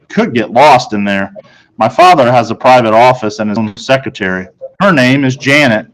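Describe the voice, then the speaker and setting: A man's voice: medium pitch, poor audio. Male narrator, mid-range voice, for a read-aloud of a children's chapter book. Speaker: reader of a children's novel.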